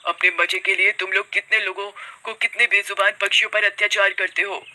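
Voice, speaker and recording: A woman's voice: medium pitch, little pitch movement, fairly quick, bright, forceful tone, low-quality recording. A woman voicing a vengeful, menacing witch in a horror cartoon story. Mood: sorrowful, bitter. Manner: menacing, taunting. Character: vengeful female witch who turns kite-flyers into kites.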